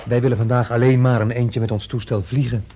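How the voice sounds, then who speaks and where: An actor playing a calm, reassuring and wry inventor in a 1970s radio play. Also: male voice, mid-range voice, fast, lo-fi sound, slight background noise, close to an everyday voice.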